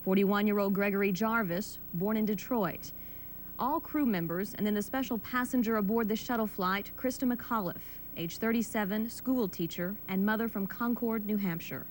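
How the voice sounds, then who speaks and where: A female news anchor with an American accent reads scripted copy at a medium pitch. The recording is an old television broadcast with faint rumbling noise. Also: normal speed, poor audio.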